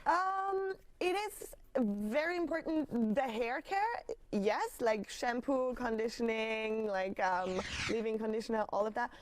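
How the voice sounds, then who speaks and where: A woman's voice: fairly high pitch, a little slow. A young woman from Austria, talking in a casual interview and speaking hesitantly with many fillers and restarts. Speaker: studio guest, circus performer.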